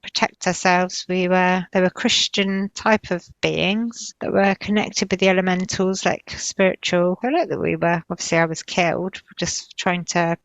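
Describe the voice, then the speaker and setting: A woman's voice: on the low side, little pitch movement, normal speed, few pauses, no room sound. A woman narrating spontaneously in a guided trance session, hesitant and drifting as she searches for words. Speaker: client recounting past-life visions in a guided session.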